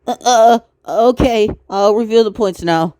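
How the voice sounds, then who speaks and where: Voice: mid-range voice, measured pace, mellow, smooth, dry recording. A person doing amateur cartoon voice acting in a theatrical way, switching between characters.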